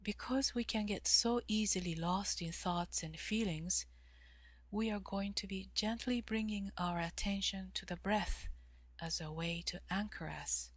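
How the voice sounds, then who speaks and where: A woman leading a guided meditation in a calm, measured way, with long pauses and soft, breathy phrase endings. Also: medium pitch, dry recording. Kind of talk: guided meditation, slow instruction.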